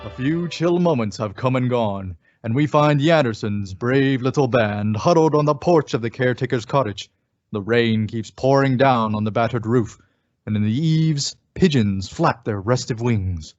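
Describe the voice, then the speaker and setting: A man's voice: medium pitch, moderate pace, darkish tone, dry recording, faint music behind, lightly acted. A man playing a theatrical, wry old-time radio announcer and narrator. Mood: wry, dramatic. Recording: dull lo-fi recording.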